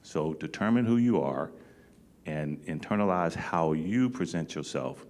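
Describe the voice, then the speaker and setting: A man's voice: rumbling low, lively intonation, measured pace, a little mellow. A man speaking as a panelist, giving direct advice and checking in with the audience. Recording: long hall reverb.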